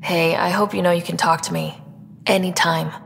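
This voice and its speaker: A woman playing a steady young human explorer in a video game, direct, composed and protective. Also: lowish voice, normal speed, gravelly, in a large hall, slight background noise, full character voice. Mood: concerned. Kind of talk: video game dialogue acting.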